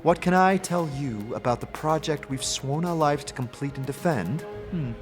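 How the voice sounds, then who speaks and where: A man's voice: a little high, moderate pace, close to an everyday voice. Curt, secretive female engineer , acted by a man, dry and dismissive, fantasy audio drama with reverb and music. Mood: aloof, guarded. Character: brisk, aloof, secretive female magical engineer. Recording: long reverb, music behind.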